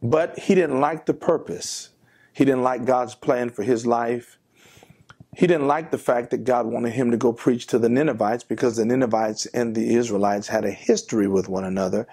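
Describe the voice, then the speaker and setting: An older male pastor delivers a devotional sermon from behind his desk, his voice gravelly with a husky edge. He preaches earnestly and with emphasis, posing questions to his listeners. The sound is close, from a lapel mic. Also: medium pitch, normal speed, darkish tone. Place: pastor's office, seated at desk.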